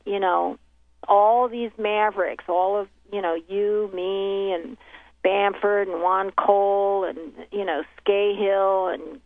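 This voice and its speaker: A woman's voice: mid-range voice, creaky, dry recording. A woman who grew up in Haiti with Haitian Creole as her first language, speaking as an interview guest over the phone. She rambles through thoughts with many restarts and fillers, her voice thin and tinny, dry with no room around it. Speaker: guest journalist. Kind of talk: casual interview talk.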